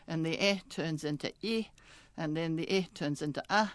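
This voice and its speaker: A woman's voice: low voice, normal speed, dry recording. An older woman with a New Zealand accent, a retired linguistics professor talking chattily in a radio interview, laughing often and restarting words.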